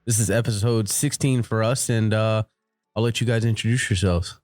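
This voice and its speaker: Man, podcast host, low voice, slangy and relaxed, faint background music. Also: moderate pace, no echo, studio quality. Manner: laid-back, slangy. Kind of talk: casual chat.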